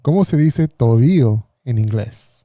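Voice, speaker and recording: Dark, dry-voiced podcast host. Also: male voice, mid-range voice, lively intonation, normal speed, no echo. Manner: laid-back, short acknowledgments. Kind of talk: casual podcast hosting.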